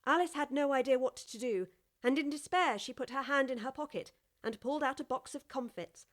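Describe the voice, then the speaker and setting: A woman's voice: high voice, fairly quick, very noisy. A woman narrator reading a scripted children's audiobook story.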